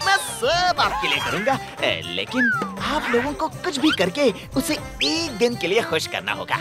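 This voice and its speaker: An actor voicing an overworked, eager-to-serve robotic genie in an animated, theatrical cartoon style. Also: mid-range voice, measured pace. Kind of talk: Hindi cartoon voice acting. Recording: loud music under the voice. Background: loud music.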